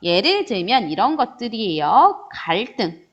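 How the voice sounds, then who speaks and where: A woman teaching a grammar lesson in a lively way, answering imagined student questions and stretching out example words. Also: medium pitch, a little slow, muffled recording. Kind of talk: online grammar lecture.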